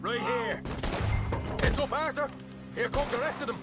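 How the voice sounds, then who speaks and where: A man's voice: very high voice, moderate pace. A man playing a cocky, eager young male outlaw in a video game, brash and boastful in manner. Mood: wary. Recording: loud music behind the voice.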